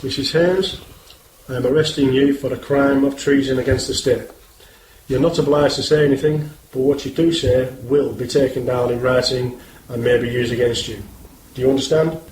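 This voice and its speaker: A man's voice: mid-range voice, steady tone, normal speed, lightly acted. Man playing a stiff police inspector, echoey room. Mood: stern, official. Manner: stiff, procedural, official. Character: formal, unyielding male police inspector. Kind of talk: radio play acting. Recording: roomy echo, background noise.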